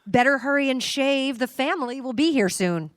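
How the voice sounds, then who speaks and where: A woman's voice: high voice, animated, normal speed, no echo, clean studio sound. An actress playing a cheerful, attentive wife and mother in an old-time radio drama. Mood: excited, cheerful.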